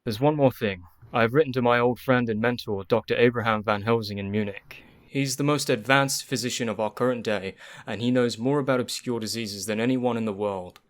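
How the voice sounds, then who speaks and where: An actor playing an earnest, devoted young Victorian doctor in a radio play, speaking formally. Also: male voice, medium pitch, fairly quick, hardly any pauses, natural voice.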